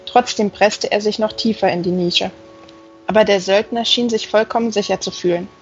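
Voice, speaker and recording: A woman's voice: mid-range voice, steady tone, moderate pace. Female audiobook reader, scripted fantasy narration, slightly lo-fi sound with music underneath.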